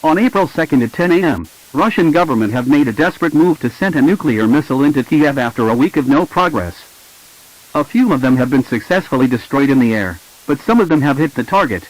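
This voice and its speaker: A man's voice: medium pitch, animated, fairly quick, poor audio. A man reading a scripted emergency broadcast announcement in a formal, impersonal way.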